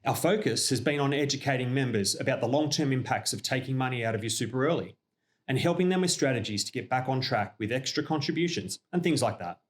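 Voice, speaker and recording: An Australian man with a mid-range voice presents a scripted corporate update. He speaks in a measured, businesslike way and explains each point as a company representative would to clients. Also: medium pitch. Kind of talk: scripted informational narration.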